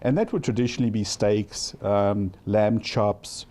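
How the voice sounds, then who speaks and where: A man's voice: lowish voice, a little slow, warm tone. A middle-aged man with a South African accent. He talks thoughtfully in an interview and often restarts and repeats words. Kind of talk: casual interview.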